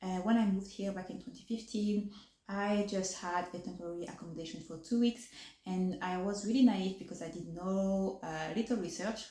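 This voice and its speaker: A woman's voice: medium pitch, moderate pace, dark tone. French woman vlogger in an echoey room. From France. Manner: articulation a little off from new braces; hesitant, many fillers and restarts. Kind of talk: casual chat to camera.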